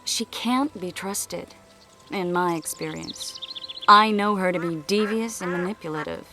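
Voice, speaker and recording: An actress playing a wary, secretive sorceress in a fantasy video game, speaking in a measured, earnest, protective way. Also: female voice, lowish voice, over music. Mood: wary, suspicious.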